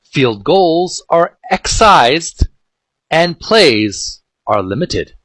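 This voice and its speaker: A man hosting a video game channel, reading aloud and commenting informally in halting, piecemeal phrases that often repeat words. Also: a little high, very animated, a bit bright, dry recording.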